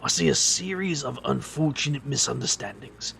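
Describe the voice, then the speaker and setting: An actor playing a weary, brooding man with a gravelly voice and dry, bitter wit in an audio drama. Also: medium pitch, expressive, normal speed, a bit bright, slight background noise. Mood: wry, bitter. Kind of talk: audio drama narration and dialogue.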